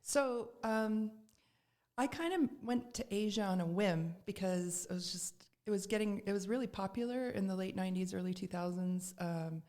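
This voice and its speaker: A woman's voice: medium pitch, moderate pace, darkish tone. A middle-aged woman from Canada, a podcast guest telling her story in casual chat, with lots of fillers and restarts.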